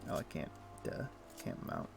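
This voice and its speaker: A man streaming a video game, explaining things in a relaxed, meandering way with frequent pauses. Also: music in the background. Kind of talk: casual gameplay chat.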